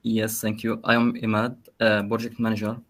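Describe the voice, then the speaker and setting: A man from Egypt with an Egyptian accent, introducing himself in an online meeting with halting, careful phrasing. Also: lowish voice, monotone, a bit bright. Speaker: project manager.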